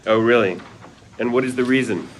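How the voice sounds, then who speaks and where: A man's voice: medium pitch, fairly quick, a loud crowd, lightly acted. An actor at a script read playing a middle-aged father who banters with his kids and gets flustered.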